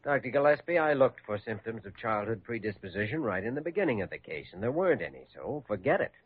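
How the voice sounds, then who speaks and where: An actor playing an earnest, wry young hospital doctor in 1940s radio drama style. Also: male voice, medium pitch, very animated, quick pace, slightly rough. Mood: defensive. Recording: dull vintage radio recording.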